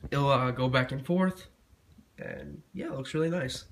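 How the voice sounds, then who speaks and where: A man's voice: medium pitch, normal speed, gravelly, slight background noise. A young man with a stuffy cold voice, casually reviewing a product with lots of fillers and restarts. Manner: rambling with frequent fillers and restarts. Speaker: tech reviewer.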